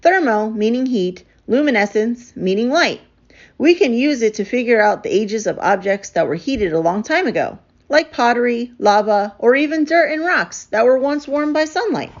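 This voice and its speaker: Storybook young archaeologist man played by a female reader, enthusiastic and explanatory, very animated, slightly muffled recording. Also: a little high, normal speed, voice pushed up. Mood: keen, explaining. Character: eager young male archaeologist, team's explainer.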